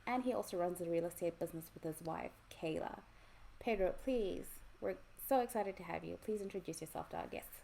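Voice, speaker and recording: A woman's voice: fairly low pitch, fairly quick, vocal fry, mellow, a little hiss or hum. A woman hosting a conversational interview podcast in an enthusiastic, encouraging way.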